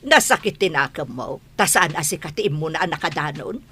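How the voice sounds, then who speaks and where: A woman's voice: deep voice, lively intonation, a little fast, sharp tone, slightly rough, full character voice. A woman playing a middle-aged village mother in an Ilocano radio drama, firm, long-suffering and plaintive. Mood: reproving, earnest.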